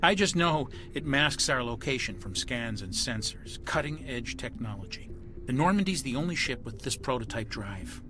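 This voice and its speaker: Animated, wary military officer played by a man. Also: medium pitch, moderate pace, music in the background, close to an everyday voice. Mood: suspicious. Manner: emphatic, suspicious, respectful. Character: wary, plain-spoken male military ship's officer. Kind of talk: video game voice acting.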